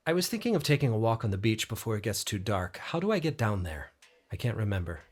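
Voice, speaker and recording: An actor playing a troubled, bookish, melancholy man in an audio drama, speaking and narrating in a halting, introspective way. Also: on the low side, sing-song, no echo. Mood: gentle, friendly. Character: troubled, bookish, melancholy man revisiting childhood holiday guesthouse.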